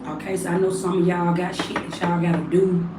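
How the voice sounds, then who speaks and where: A raspy, hoarse woman talks loosely to her livestream viewers and calls them family. She sits a little away from the mic in an echoey home room, with faint ambience behind her. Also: fairly low pitch, flat delivery, fairly quick, distant mic, noisy background. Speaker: livestream spiritual reader. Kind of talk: casual livestream chat.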